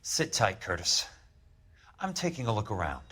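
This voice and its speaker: A man's voice: medium pitch, animated, normal speed, noisy background, lightly acted. Man playing a hard-boiled, sardonic detective. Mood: menacing. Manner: wry, terse, hard-boiled. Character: tough, sardonic wounded male investigator, dry and blunt. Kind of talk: 1940s detective game acting.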